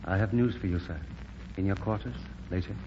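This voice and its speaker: An actor playing a humble, courteous Chinese lieutenant in an old-time radio drama, speaking formal, halting English as a second language. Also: male voice, low voice. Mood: sorrowful, restrained. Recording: dull, faintly noisy vintage recording.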